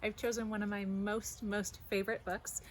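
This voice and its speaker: A woman reading a children's story aloud as host, in an expressive, careful storytelling manner. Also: medium pitch, normal speed, a little hiss or hum. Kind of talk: animated children's read-aloud.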